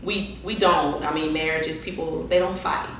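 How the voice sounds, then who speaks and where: A woman's voice: low-pitched, steady tone, moderate pace. A woman in her forties chatting casually as a panelist in a group discussion. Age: 40s. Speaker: panelist on a relationship talk show. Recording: very echoey room, distant mic, faint noise.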